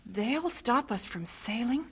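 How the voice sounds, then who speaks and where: A woman with an American accent playing a gentle missionary wife and mother in an old radio drama. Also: a little high, expressive, takes it slowly, breathy edge, exaggerated voice. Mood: worried.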